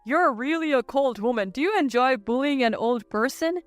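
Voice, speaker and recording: Woman playing a clear-voiced, defiant ex-husband. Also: high voice, animated, normal speed, no echo, faint music behind. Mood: angry. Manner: curt, dismissive. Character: selfish, defiant ex-husband, an adult man, dismissive.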